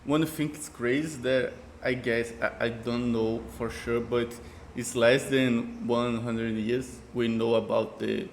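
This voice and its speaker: A Brazilian man with a Portuguese accent, reacting casually to a video and searching haltingly for English words. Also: mid-range voice, fairly even intonation, creaky, echoey room, a little hiss or hum.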